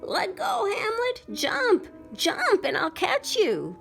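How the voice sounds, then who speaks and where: A woman voicing a tiny, anxious male hedgehog who frets and squeaks. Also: big pitch swings, takes it slowly, creaky, faint music behind, heavily acted. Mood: panicked. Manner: squeaky, panicky.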